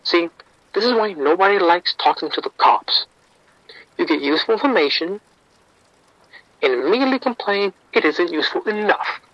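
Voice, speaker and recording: A man's voice: a little high, pushed and bright, no echo, lo-fi sound. An actor playing a streetwise male bookie informant, wry and defensive, talking around the point in an audio drama.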